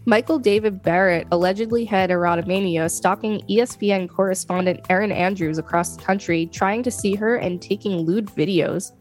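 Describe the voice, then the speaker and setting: A woman's voice: medium pitch, fairly quick, few pauses, soft background music. A woman co-hosting a podcast, reading an article aloud steadily, now and then tripping over names. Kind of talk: casual read-aloud.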